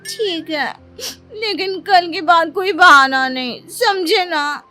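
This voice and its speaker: A woman's voice: extremely high pitch, slow pace, sharp tone, no room sound, heavily acted. A woman voicing a sweet, pleading young village wife in a cartoon story, coaxing and plaintive. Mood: affectionate. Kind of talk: Hindi cartoon story voice acting. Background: music.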